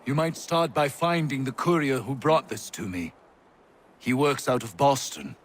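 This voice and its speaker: A man's voice: medium pitch, a little slow, lightly acted. A man playing a frank, aggrieved colonial doctor in a video game, articulate and earnest. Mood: urgent. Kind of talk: video game dramatic acting. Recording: loud ambient noise behind voice.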